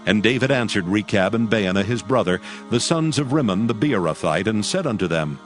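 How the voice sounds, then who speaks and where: A man narrating a dramatized scripture audiobook in a solemn, measured storytelling manner. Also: on the low side, expressive, faint music behind.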